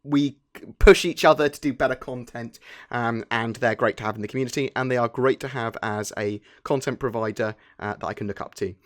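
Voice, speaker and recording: A man's voice: mid-range voice, brisk. A British man hosting an informal gaming news show, talking in a chatty, enthusiastic way. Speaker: host of a gaming news channel. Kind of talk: informal scripted news commentary.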